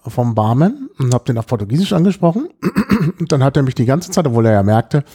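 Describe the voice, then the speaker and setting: A middle-aged man chatting casually about his travels, hesitant and often clearing his throat. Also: medium pitch, sing-song, fairly quick, warm tone. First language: German. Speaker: podcast guest telling about his trip. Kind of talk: casual chat, travel anecdotes.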